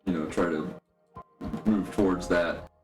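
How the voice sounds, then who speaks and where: Male tabletop player, very even delivery, casual tactical chat, roomy echo, music playing behind him. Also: low voice, a little slow. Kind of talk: casual game strategy talk.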